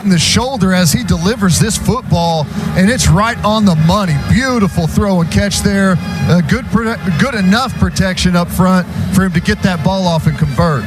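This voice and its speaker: High-voiced American male color commentator. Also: normal speed, music in the background, rough recording. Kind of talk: live football analysis between plays. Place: stadium radio booth.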